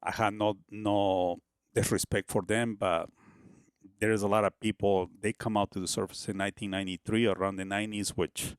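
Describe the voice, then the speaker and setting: A man's voice: lowish voice, level tone, a little fast, smooth, no echo, clean studio sound. A man in his fifties from Peru with a Peruvian Spanish accent, a shaman guest in a casual podcast interview, rambling and often asking "you know what I mean?". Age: 50s.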